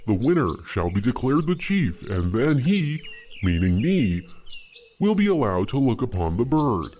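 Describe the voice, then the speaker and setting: An actor playing a pompous, grandiose village chief in a comic radio play. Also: male voice, mid-range voice, sing-song, unhurried, darkish tone. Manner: grandiose, theatrical declarations. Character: pompous, self-declared village chief hunting his rival. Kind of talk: scripted radio-play comedy acting. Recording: noisy recording.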